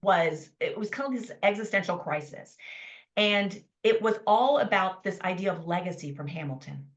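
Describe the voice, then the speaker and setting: A woman in her fifties, a life coach telling her story as a podcast guest in an enthusiastic, animated way. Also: medium pitch, normal speed, lo-fi sound. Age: 50s. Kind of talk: conversational interview storytelling.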